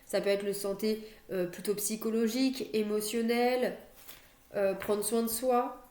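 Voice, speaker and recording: A woman's voice: mid-range voice, steady tone, unhurried, a little mellow. Young woman with a cold, chatty tarot reader at home, echoey computer-mic sound. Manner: chatty, many fillers and restarts. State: has a cold, coughing. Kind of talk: monthly astrology card reading. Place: home, near a stairwell.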